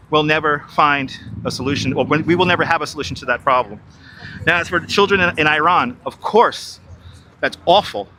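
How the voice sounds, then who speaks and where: A man's voice: a little high, sharp tone, slight background noise, rough recording. A middle-aged man, walking outdoors by a river on a live stream, chatting with viewers and reading their comments aloud. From New York. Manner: chatty, repeats himself, reads and answers viewer chat. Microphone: wireless clip-on mic.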